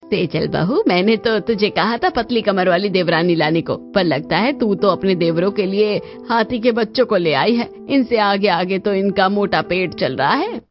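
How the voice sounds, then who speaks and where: A woman's voice: mid-range voice, animated, normal speed, few pauses, highs cut off, soft background music, lightly acted. A woman voicing a stern, scornful middle-aged mother-in-law in a family story, scolding and sarcastic. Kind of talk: dramatized moral family story voice acting.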